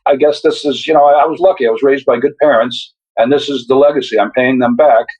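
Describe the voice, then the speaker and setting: A man's voice: mid-range voice, steady tone, fast talker, a little mellow, breathy edge, low-quality recording. An older man, the guest author, talking in a reflective, rambling way with frequent restarts. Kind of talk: casual interview conversation.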